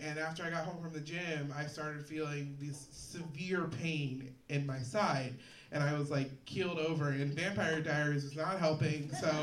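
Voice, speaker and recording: A woman with a deep voice works through a stand-up set, rambling in a dry tone and circling back on her own sentences. She is picked up from far off in a reverberant room, and there is steady noise under her voice. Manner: dry, rambling delivery with halting restarts. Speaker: stand-up comedian. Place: comedy stage in a room. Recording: echoey room from far off, noisy.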